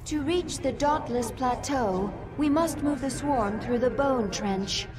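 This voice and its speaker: A dutiful alien advisor, calm and informative. Her voice sits fairly high, and music plays clearly behind her. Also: slow. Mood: reporting. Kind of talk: video game cutscene voice acting.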